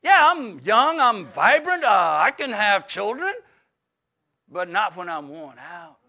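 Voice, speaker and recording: A man's voice: high voice, sing-song, slow pace, vocal fry, bright, forceful tone. An older man, preaching a folksy Bible-study sermon full of fillers and restarts. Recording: dull lo-fi recording.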